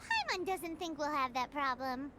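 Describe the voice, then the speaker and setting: A woman's voice: high voice, sing-song, normal speed, very noisy. A woman voicing a tiny, chirpy female fairy companion in a video game who talks about herself in the third person. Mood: chatty. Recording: game audio with loud music behind. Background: loud music.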